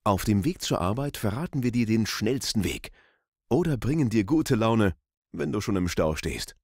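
A man voicing a friendly, smooth radio station promo announcer with deliberate emphasis, close on a studio microphone. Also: fairly low pitch, sing-song, a little mellow, no echo. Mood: friendly, upbeat. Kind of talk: scripted radio promo read.

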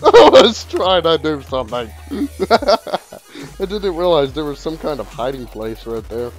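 A man commenting live on a game he is playing. He sounds playful, laughs often and breaks into sudden excited yelps. His pitch swings widely, he talks at an unhurried pace, and soft music plays behind him. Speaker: gaming channel host. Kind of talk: casual gameplay commentary.

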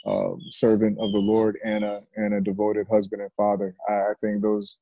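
A middle-aged man, a football coach being interviewed on a video call, speaking reflectively and haltingly with repeated words. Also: on the low side, monotone, dark tone, no echo, low-quality recording. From Jamaica and United States. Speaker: high school football coach, interview guest. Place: covered outdoor porch.

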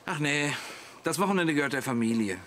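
A boastful, stubborn middle-aged husband in a radio play. His blustering speech swings widely in pitch, over faint outdoor noise. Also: lively intonation, brisk, fairly bright tone. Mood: self-important. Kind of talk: German audio drama dialogue.

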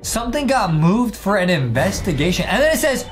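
A young American man hosting casual, excited gameplay commentary, slangy and often repeating himself for young viewers. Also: sits high, brisk. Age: young adult. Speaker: gaming show host. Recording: music behind the voice.

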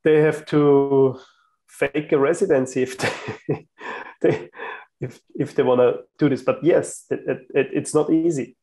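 Guy from austria on a video call, gravelly voice, stops and restarts a lot. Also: mid-range voice, steady tone, a little slow, dark tone. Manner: hesitant, many restarts. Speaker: guest. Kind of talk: casual chat.

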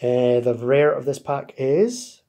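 A man's voice: medium pitch, slow, warm tone. A man hosting a card-opening video, chatting casually as he opens packs and explains pre-orders, recorded at a table indoors. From United Kingdom. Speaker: card-opening channel host. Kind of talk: casual pack-opening chat.

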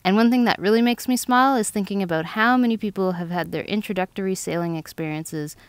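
Calm woman reading a voice-over. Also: medium pitch, quick pace, smooth, no echo. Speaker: vlog co-host, sailor. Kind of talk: scripted voice-over narration.

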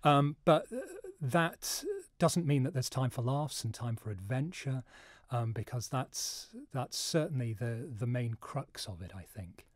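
A man's voice: mid-range voice, lively intonation, unhurried, dry recording. A man in his thirties, earnest and enthusiastic, as a guest in a radio interview on a studio microphone. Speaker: guest, writer and actor.

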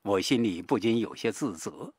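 Man, dramatic audiobook narration, husky, expressive pitch swings, close dry sound. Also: moderate pace, dry recording. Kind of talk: dramatic scripted horror-mystery narration.

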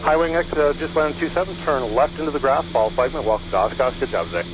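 A man working as a tower controller, giving procedural, courteous landing clearances over aviation radio. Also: mid-range voice, fast. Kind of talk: rapid scripted-sounding radio clearances. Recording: dull aviation radio, engine hum. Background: small airplane engine hum.

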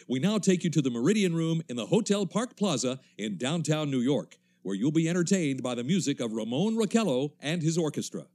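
An actor playing a formal, matter-of-fact 1930s radio weather announcer in a radio play. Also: male voice, on the high side, very animated, brisk, few pauses, dark tone.

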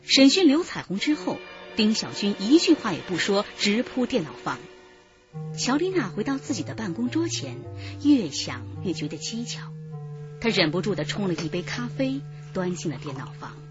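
A woman's voice: medium pitch, sing-song, moderate pace, close to an everyday voice. A woman narrating a crime radio drama in a dramatic scripted style. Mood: grave, dramatic. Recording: muffled track with music behind.

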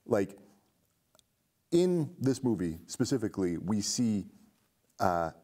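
A man's voice: fairly low pitch, very animated, slow pace, mellow. A middle-aged man hosting a casual film discussion in an indoor studio. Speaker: host. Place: indoor studio set with curtains.

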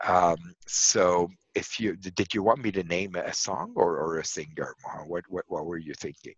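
Older Lebanese-born man, low husky voice, dry muffled call audio, thoughtful and rambling. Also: normal speed, dry recording. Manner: warm, reflective, with frequent fillers and restarts. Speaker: lecturer and radio host being interviewed. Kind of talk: casual conversational interview. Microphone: video call. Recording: dull lo-fi video call audio.

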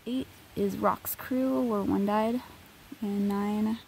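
A woman's voice: medium pitch, steady tone, slow pace, darkish tone, a little hiss or hum, low-quality recording. A woman vlogging on a forest hike, musing as she points out spots on a map. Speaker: hiker vlogger. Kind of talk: casual on-trail commentary. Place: outdoors on a forest trail.

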